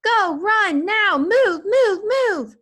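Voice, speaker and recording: A woman's voice: very high-pitched, animated, extremely slow, fairly bright tone, voice pushed up, full character voice. A woman voicing a stern, exasperated, frantic father in a children's storybook. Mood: panicked, yelling.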